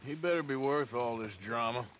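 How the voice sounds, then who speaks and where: An actor playing a gruff, grudging cowboy outlaw with an American accent and a laconic drawl in a Western video game. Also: male voice, mid-range voice, moderate pace, close to an everyday voice. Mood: terse, wry. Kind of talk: video game voice acting, Western drama dialogue. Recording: loud noise, horse hooves and clicks. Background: horse hooves, clicks.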